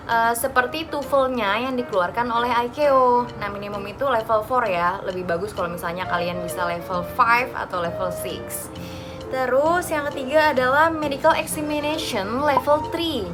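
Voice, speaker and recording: A woman with an Indonesian accent, explaining things in an informal, instructive vlog style as if talking to friends. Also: a little high. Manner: conversational, instructive, addressing listeners as friends.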